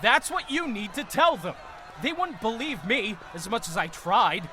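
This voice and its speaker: Man voicing a high, sharp, frantic messenger. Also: expressive, unhurried, full character voice. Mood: defensive, shouting. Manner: urgent, agitated. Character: frantic man in a suit bringing dire warnings. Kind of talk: acted audiobook dialogue.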